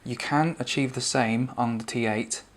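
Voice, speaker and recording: A man's voice: mid-range voice, steady tone, moderate pace. A man giving a conversational, explanatory spoken product review and demonstration.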